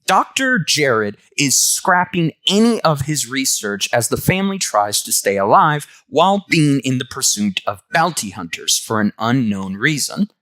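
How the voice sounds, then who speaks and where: A man's voice: medium pitch, sing-song, a little slow, few pauses, a bit bright, dry recording. A man hosting a comic book review, giving enthusiastic, semi-scripted commentary.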